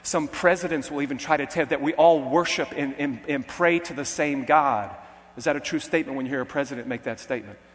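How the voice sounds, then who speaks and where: A man's voice: a little high, a little fast. A man preaching a sermon, putting rhetorical questions to his listeners and often checking in with "okay?". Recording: long hall reverb.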